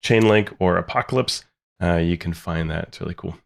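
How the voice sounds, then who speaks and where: A man's voice: low-pitched, a little fast, vocal fry. A man co-hosting a podcast in casual, upbeat chat, his voice thick and congested from a cold. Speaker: podcast co-host.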